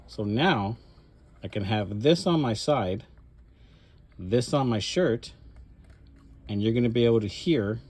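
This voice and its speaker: A man's voice: mid-range voice, darkish tone. A man presenting his cosplay prop modification in a casual show-and-tell style, rambling through it step by step. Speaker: hobbyist presenter showing a cosplay prop mod.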